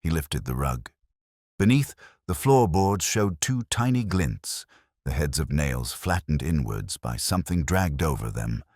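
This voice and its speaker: A man narrates a literary mystery. His voice is extremely deep, with a wide, animated melody, and the recording is very dry. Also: unhurried, no room sound.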